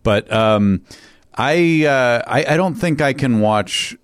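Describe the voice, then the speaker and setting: A man's voice: mid-range voice, animated, slow, smooth, no room sound. A man co-hosting a casual podcast in a studio, rambling and hesitant as he explains things. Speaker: podcast co-host and producer.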